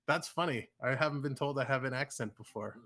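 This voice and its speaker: A man's voice: medium pitch, sharp tone. A man from the Toronto area with a Canadian accent, hosting a livestream with casual play-by-play while chatting with viewers. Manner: chatty, reads chat aloud, laughs easily. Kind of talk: casual play-by-play with live chat.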